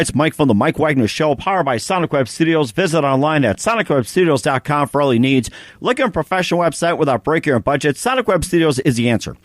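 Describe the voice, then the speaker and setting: Male interview host, slightly high voice, dry close mic, loose rambling patter full of fillers. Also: no room sound. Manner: rambling, many fillers and repeated "as well, too". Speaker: podcast host. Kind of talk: casual interview with read sponsor spots.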